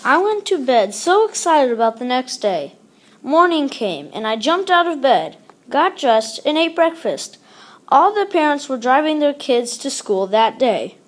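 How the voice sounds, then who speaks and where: A woman's voice: high voice, a little slow. A woman reading a children's picture book aloud expressively, acting out the exclamations and dialogue. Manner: animated, acts out exclamations and dialogue. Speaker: storybook reader.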